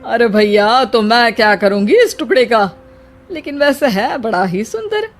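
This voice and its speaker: A woman's voice: fairly high pitch, expressive, measured pace, soft background music. A woman voicing a chatty, fussy middle-aged mother-in-law in a moral-story cartoon, with an exclamatory manner. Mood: bargaining.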